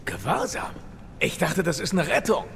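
Tough wry young mercenary male actor, zombie horror audio drama; gritty fry, lively intonation, noisy effects bed. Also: on the high side, normal speed, exaggerated voice. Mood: focused, questioning. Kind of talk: dramatic audio drama acting of a video game story.

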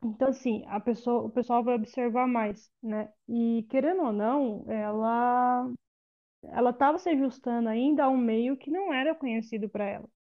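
A fairly high-voiced woman gives her opinion on film acting in a loose, chatty way, restarting her sentences often. The sound is dull and lo-fi, like a remote call. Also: normal speed. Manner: opinionated, talks it through with many restarts. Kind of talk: casual film review chat.

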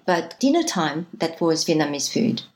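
This is a woman in her fifties with a notably low voice, a native French speaker. She recounts her upbringing as an interview guest, reflective and sincere, often opening her sentences with "so". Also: very animated, normal speed, a little mellow. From France. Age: 50s. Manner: starts many sentences with "so", earnest and reflective. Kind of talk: reflective personal storytelling.

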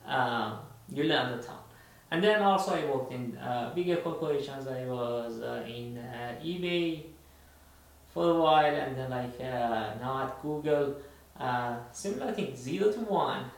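A man's voice: mid-range voice, lively intonation, measured pace. A man from Iran, co-hosting a casual podcast chat and talking hesitantly with many fillers and restarts. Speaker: podcast co-host. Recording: very echoey room, distant mic.

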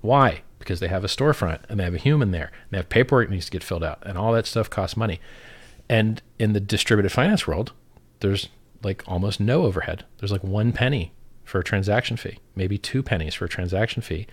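A man's voice: lowish voice, fairly quick. A man giving an opinionated, casual explanation, blunt and emphatic, repeating himself and swearing freely.

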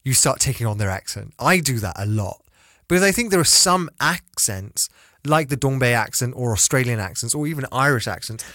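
A man telling stories in a relaxed casual chat, full of "like" and "you know". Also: mid-range voice, a little fast, no echo, clean studio sound.

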